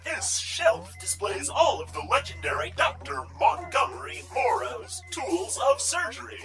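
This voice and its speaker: A woman's voice: a little high, sing-song, measured pace, gravelly, over music, exaggerated voice. A woman playing a gleefully morbid tour guide on a recorded exhibit narration in an audio drama, emphatic and presentational. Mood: morbid, showy.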